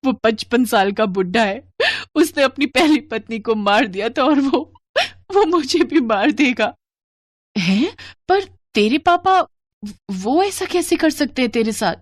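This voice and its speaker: A woman playing an expressive young college girl in dramatic story dialogue. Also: on the high side, measured pace, no echo, clean studio sound. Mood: distressed. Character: young college girl, lovestruck, teasing, later frightened and pleading. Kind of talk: animated Hindi story dialogue.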